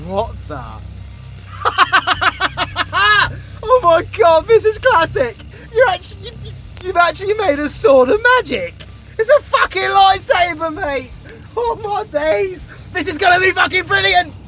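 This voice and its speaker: Man acting a bright, cocky British supervillain warlock. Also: very high-pitched, very animated, a little slow, a faint crowd, slight background noise, heavily acted. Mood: gleeful, taunting. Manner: mocking, sweary, theatrical banter. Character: cocky, crude, taunting male warlock supervillain, gleefully playful. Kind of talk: audio roleplay voice acting.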